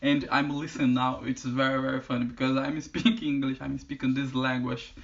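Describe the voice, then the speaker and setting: A man from Brazil, speaking English with a Brazilian Portuguese accent as a non-native speaker, talking casually to camera with halting phrases and frequent restarts. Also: medium pitch, flat delivery, a bit bright, muffled recording. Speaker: channel host. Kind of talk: casual vlog talk. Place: indoors in the UK.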